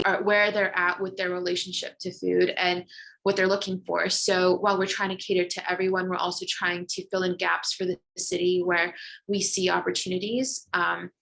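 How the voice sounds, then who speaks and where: A woman's voice: on the low side, flat delivery, normal speed, gravelly, fairly bright tone, low-quality recording. A woman in her thirties, from the UAE, introducing herself on a virtual panel in a conversational way with frequent fillers, over a video call. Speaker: panel guest.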